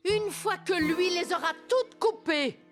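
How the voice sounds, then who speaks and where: A woman playing a firm, businesslike bee specialist in a cartoon dub, expressive, with music behind her. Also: very high-pitched, unhurried.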